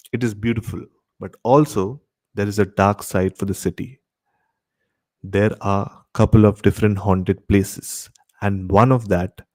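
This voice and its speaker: An Indian man presents as a radio host in a casual way. His voice sits fairly low and is very mellow and dark, with a dry, close sound free of room echo. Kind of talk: casual show introduction.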